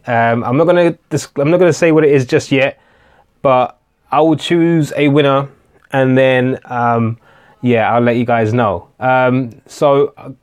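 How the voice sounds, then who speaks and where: A man hosting a casual live-stream Q&A, chatting hesitantly with many fillers and restarts while answering viewer comments. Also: medium pitch. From England. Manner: reads viewer comments aloud and answers them. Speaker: tech channel host.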